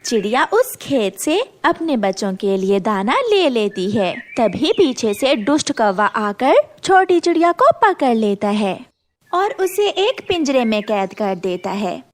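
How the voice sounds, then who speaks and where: High-pitched, lively woman storyteller. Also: a little slow. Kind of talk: scripted children's story narration.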